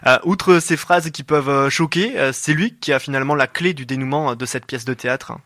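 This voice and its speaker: A man's voice: medium pitch, brisk, a bit bright, no room sound, clean studio sound. A male radio host introducing a guest and asking questions, partly read from a script, partly with hesitant fillers. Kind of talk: radio interview. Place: radio studio.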